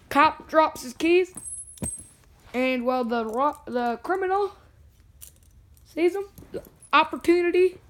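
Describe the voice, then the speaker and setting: A young vlogger with a hoarse, worn voice, chatting and joking casually while unboxing. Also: high voice, fairly bright tone, slight background noise. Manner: chatty, jokey, self-talking. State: hoarse, lost voice.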